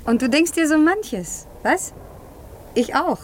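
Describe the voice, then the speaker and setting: High-voiced, curious village niece. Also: female voice, animated, takes it slowly, slight background noise. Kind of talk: German radio play acting.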